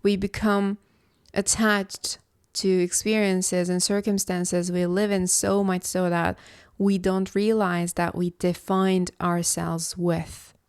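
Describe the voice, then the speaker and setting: A woman from Istanbul, hosting a reflective solo podcast as a philosophy coach, speaking in a slow, contemplative, measured way. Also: on the low side, flat delivery, darkish tone, smooth, no echo, studio quality. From Istanbul, Turkey.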